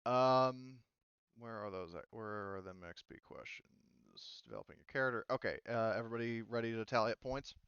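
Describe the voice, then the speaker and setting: Man, game master, smooth clear voice, casual halting explanations, dry slightly muffled recording. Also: mid-range voice, fairly bright tone, dry recording. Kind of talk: casual chat, running a tabletop game session.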